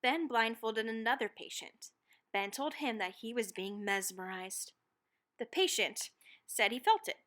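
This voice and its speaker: Female read-aloud narrator for children's books: bright, forward tone, slightly high pitch, expressive and deliberately dramatic phrasing.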